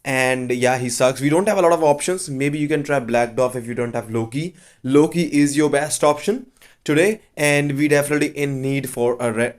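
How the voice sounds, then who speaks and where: Man with a cold narrating gameplay. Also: medium pitch. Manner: chatty, blunt, offhand verdicts. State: has a cold. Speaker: gaming channel host. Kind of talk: casual game walkthrough commentary.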